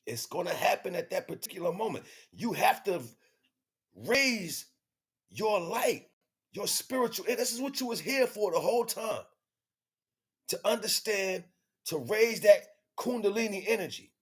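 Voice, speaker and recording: A man's voice: high voice, expressive, normal speed, slightly rough. A man hosting an informal spiritual teaching livestream, talking in slang with emphatic, repeated phrases. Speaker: livestream host.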